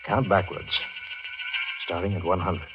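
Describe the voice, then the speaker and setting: Old radio drama doctor, deepish voice, clinical and coaxing, dull lo-fi sound. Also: male voice, fairly even intonation, unhurried, over music. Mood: probing, steady. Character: measured, probing hospital doctor questioning a patient. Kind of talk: 1940s radio mystery acting. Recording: muffled vintage radio recording.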